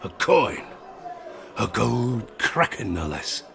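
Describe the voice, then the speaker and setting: An actor playing a grizzled old sailor, gruffly telling children a dark bedtime tale in an audio drama. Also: male voice, fairly low pitch, sing-song, heavily acted. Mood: hushed, suspenseful. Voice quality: gravelly. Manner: theatrical, gruff, suspenseful storytelling with dramatic pauses.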